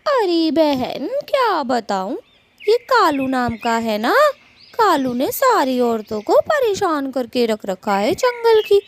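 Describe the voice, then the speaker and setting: Cartoon bird friend female performer: highish, expressive, exasperated gossip with sympathy. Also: slow, slight background noise, exaggerated voice. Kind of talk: Hindi cartoon story dubbing.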